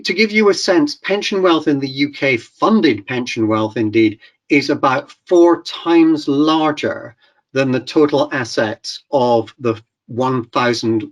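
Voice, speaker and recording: A Scottish man talks through his slides in a webinar. His delivery is hesitant, full of "uh"s and repeated starts as he works toward each point. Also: mid-range voice. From Scotland. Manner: hesitant, many fillers and restarts. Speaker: webinar speaker. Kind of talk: presentation with slides. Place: video call webinar.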